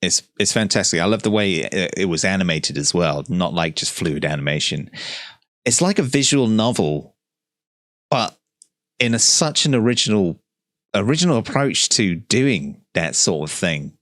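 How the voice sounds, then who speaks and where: A male gaming host with a deep voice talks informally about what he is playing. He is enthusiastic and conversational. The sound is dry, close to the microphone. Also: animated, normal speed, a bit bright, no echo. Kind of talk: casual gameplay commentary.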